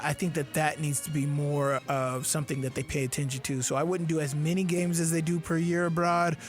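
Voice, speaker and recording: A man co-hosting a sports radio show, talking in halting, repetitive bursts and giving blunt opinions. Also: a little high. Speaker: fill-in radio co-host. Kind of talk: casual sports radio chat.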